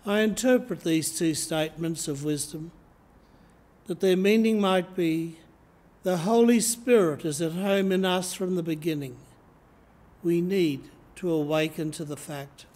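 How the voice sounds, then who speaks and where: Slow, measured older Australian priest. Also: male voice, on the high side, a little mellow. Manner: slow, measured reading from notes. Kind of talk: sermon. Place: church sanctuary, indoors. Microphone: lectern microphone, camera in front.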